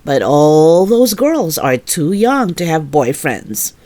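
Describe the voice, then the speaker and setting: A woman voicing a patient, gently firm mother in a children's storybook, soothing and reasoning. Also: low-pitched, sing-song, slow pace, voice pushed down, heavily acted.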